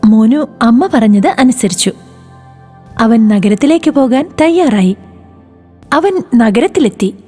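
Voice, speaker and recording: A woman narrating a children's moral story in an expressive, sing-song way. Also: a little high, a little slow, pauses often, slightly rough, soft background music.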